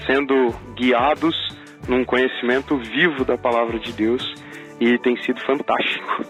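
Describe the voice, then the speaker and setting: A man's voice: mid-range voice, steady tone, moderate pace, fairly bright tone, highs cut off, soft background music. A man from Brazil with a Brazilian Portuguese accent, giving a grateful supporter testimonial. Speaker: listener supporter in an ad.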